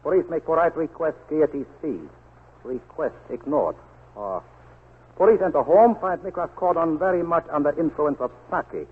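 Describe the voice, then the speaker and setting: Polite Japanese police captain, tinny radio. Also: male voice, a little high, expressive, normal speed, long pauses, husky. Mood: falsely polite. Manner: stilted, telegraphic foreign English. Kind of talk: 1940s radio acting. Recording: tinny 1940s radio recording.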